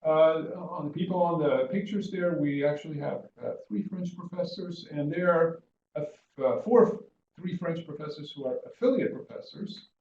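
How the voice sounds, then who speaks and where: A middle-aged man from Sweden, a professor giving an informal webinar presentation in a halting way with restarts. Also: on the high side, a little mellow. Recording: muffled, echoey room, faint noise.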